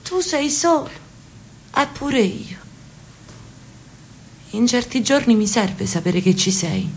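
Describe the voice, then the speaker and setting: An aging sister from Naples, fond and stubborn, coaxing her brother in a radio play. Her voice sits fairly high for a woman, with a lilt and warm insistence. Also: expressive, slow pace. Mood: tender. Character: elderly Neapolitan sister, insistent and affectionate. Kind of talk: radio drama acting.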